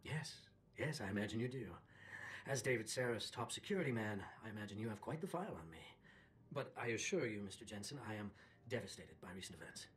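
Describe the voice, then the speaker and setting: An actor playing a smooth, grave activist leader in a video game, formal and condescending. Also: male voice, fairly low pitch, animated, a bit bright. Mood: polite, grave. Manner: formal, measured rhetoric, condescending. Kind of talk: video game cutscene acting.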